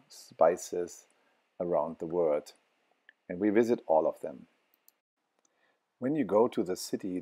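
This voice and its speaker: Slow, deep-voiced man with halting speech. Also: warm tone. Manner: halting, many fillers and restarts. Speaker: travel vlogger. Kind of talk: walking tour narration.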